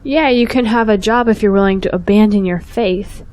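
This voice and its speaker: A woman's voice: mid-range voice, normal speed, a little mellow, no room sound, lightly acted. A young woman playing a principled, outspoken schoolteacher in an audio drama, earnest and pointed. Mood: sarcastic, pointed. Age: young adult.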